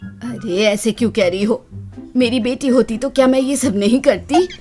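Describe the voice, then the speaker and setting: A woman's voice: fairly high pitch, moderate pace, a little mellow, faint music behind, natural voice. A woman voicing a kind, protective, motherly older woman in a storybook tale, caring and insistent. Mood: concerned, insistent.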